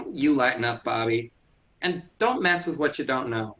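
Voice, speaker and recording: A man's voice: mid-range voice, normal speed, a bit bright, lightly acted. A man reading a bitter young farmhand. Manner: bitter, snappish. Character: sullen young farm man, feels trapped. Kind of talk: staged play reading.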